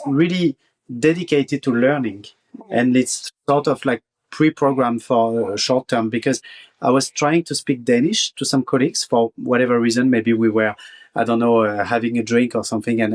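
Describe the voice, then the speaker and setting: A man's voice: mid-range voice, fairly even intonation, moderate pace. A man from France with a French accent, chatting casually as a guest and repeating words as he searches for phrasing. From Marseille, France. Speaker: podcast guest. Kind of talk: casual chat.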